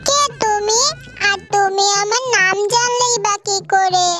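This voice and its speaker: A woman's voice: very high voice, steady tone, a little slow, over music. A woman voicing a meek, sorrowful young orphaned fox girl in a cartoon story, plaintive and pleading. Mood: wondering.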